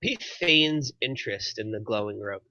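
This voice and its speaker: A man's voice: on the low side, expressive, normal speed, sharp tone. A man reading stage directions as scripted narration at a table read, on a muffled microphone.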